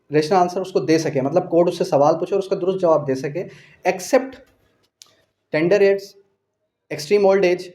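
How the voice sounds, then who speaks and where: Higher-pitched Pakistani man giving a lecture. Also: warm tone. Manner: explanatory, asks rhetorical questions then answers them; mixes English legal terms and quotations into speech. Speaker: law teacher. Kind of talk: exam-prep lecture to students.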